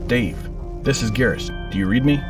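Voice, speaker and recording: A man acts as a resolute, urgent father in a sci-fi audio drama. His delivery is terse and commanding, a little off the mic, with music clearly behind. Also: mid-range voice, fairly quick, distant mic, natural voice. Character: urgent, resolute father calling by radio for daughter.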